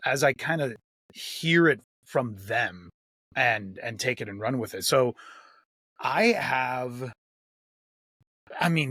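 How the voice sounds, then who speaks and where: A man with a husky voice in a close, dry recording. He speaks as an interview guest and game master, thinking aloud in casual chat and repeating phrases for emphasis. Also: medium pitch, fairly bright tone, no room sound.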